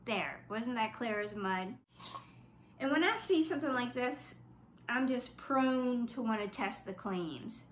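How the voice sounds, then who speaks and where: A woman's voice: on the high side, animated, unhurried, roomy sound, a little hiss or hum, rough recording. A woman from South Louisiana with a stuffy, congested voice, giving a casual makeup review with a wry, skeptical manner. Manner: wry, skeptical, reading product claims aloud. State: stuffy from flu. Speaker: beauty vlogger.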